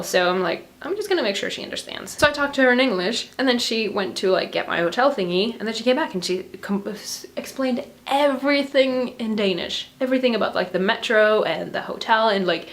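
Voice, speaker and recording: A young woman from Norway chatting casually to a handheld camera, telling a story with frequent giggles. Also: a little high. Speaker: vlogger. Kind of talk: casual vlog chat to camera. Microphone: handheld camera at arm's length.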